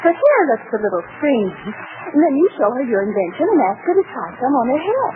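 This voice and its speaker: Sweet, scheming young woman, gushing and very lively in pitch, 1940s radio comedy with a narrow, noisy broadcast sound. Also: fast. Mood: conspiratorial. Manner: gushing, expressive.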